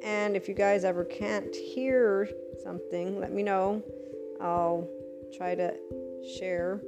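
A woman hosting a podcast on a headset mic, speaking loudly and enunciating her words carefully, getting passionate as she goes. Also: mid-range voice, steady tone, takes it slowly, music in the background. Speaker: podcast host. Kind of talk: scripted intro then casual podcast talk.

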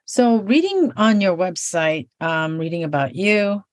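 A woman's voice: lowish voice, very animated, unhurried, no echo. A woman hosting a podcast, asking her guest questions in a friendly way. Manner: friendly, laughs along, short backchannels. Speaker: podcast host. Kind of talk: interviewing a guest.

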